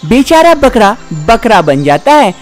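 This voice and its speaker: A woman's voice: on the high side, very animated, a little slow, faint music behind. A woman narrating a scripted animal fable for children as a storyteller.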